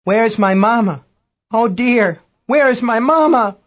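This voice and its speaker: A frightened Chinese crewman aboard a spaceship, played by a male reader. The voice sits high, fearful and helpless, in a slightly muffled audiobook recording. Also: sing-song, slow pace, heavily acted. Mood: helpless terror. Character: frightened Chinese spaceship crew member, turning childlike in terror.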